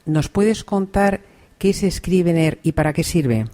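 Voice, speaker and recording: Spanish lady hosting a podcast interview, mellow warm tone, easygoing. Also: lowish voice, unhurried. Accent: Spain Spanish. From Spain. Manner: relaxed, with frequent soft affirmations. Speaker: podcast host.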